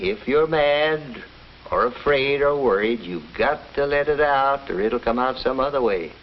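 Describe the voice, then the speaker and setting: An actor playing a kindly, folksy family doctor who explains and reassures in a 1950s educational film. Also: male voice, medium pitch, slight background noise. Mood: gentle, coaxing. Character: kindly small-town family doctor recounting cases, reassuring. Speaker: narrator and doctor. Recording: dull old film soundtrack with music.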